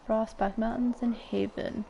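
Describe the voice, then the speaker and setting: A woman's voice: fairly high pitch, flat delivery, a little slow, gravelly, lo-fi sound, a little hiss or hum. A woman giving casual gaming commentary, blunt and teasing, swearing now and then. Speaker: gamer commentating. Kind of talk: casual let's-play commentary.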